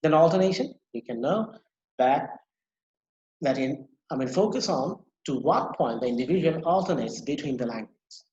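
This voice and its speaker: A man's voice: fairly high pitch, lively intonation, normal speed, a little mellow, reverberant, highs cut off, slight background noise. A man lecturing online with a Bangladeshi English accent, a native Bangla speaker who restates points often with fillers. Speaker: university lecturer.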